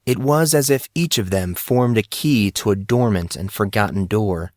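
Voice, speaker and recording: Guy narrating an audiobook story, lowish voice, really dry recording. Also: normal speed, darkish tone, no room sound.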